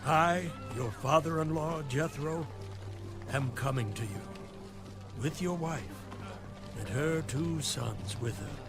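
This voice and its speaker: An earnest elder priest in scripture radio drama, higher-pitched, unhurried and solemn, over music. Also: male voice. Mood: formal greeting. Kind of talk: audio Bible drama acting.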